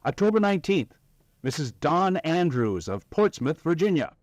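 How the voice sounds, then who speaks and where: A man's voice: a little high, big pitch swings, moderate pace, a little mellow, no echo, studio quality. A man playing an eager 1940s radio advertising announcer, promotional and emphatic. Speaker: commercial announcer.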